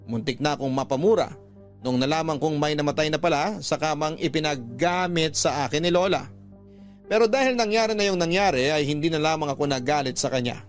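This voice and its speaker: Male actor as young guy telling his own creepy story, earnest, highish voice, light music under it. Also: natural voice. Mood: earnest, uneasy. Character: young man narrating his own eerie, remorseful confession. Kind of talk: dramatised first-person letter narration.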